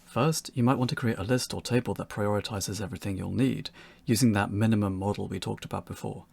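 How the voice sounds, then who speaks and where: A man presents a scripted lesson for beginners. His voice is husky and full of creak, and it is captured very dry and close, with no room sound. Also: on the low side, fairly even intonation, fast talker, a little mellow, dry recording. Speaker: presenter of a beginners' tutorial talk. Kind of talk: scripted instructional narration.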